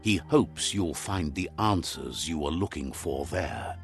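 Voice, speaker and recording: A man narrating a video game as a calm storyteller who relays what the creatures say. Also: a bass voice, measured pace, vocal fry, soft background music, full character voice. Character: grown male storybook narrator, calm and wise.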